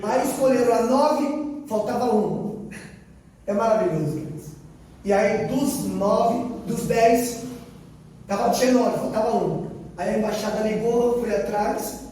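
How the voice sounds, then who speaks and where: High-voiced preacher man, echoing hall. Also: a little slow, dark tone, a little hiss or hum. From Brazil. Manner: storytelling, quoting dialogue, repeating phrases for emphasis. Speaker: missionary preacher. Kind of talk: sermon testimony. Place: church hall. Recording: echoey hall from far off, faint ambience.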